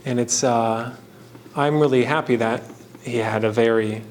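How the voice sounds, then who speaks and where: A man giving casual, rambling commentary as the host of a hands-on craft video, with a halting delivery that meanders and trails off between thoughts. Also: mid-range voice, fairly even intonation, a little hiss or hum. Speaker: host of a painting and fan trivia video.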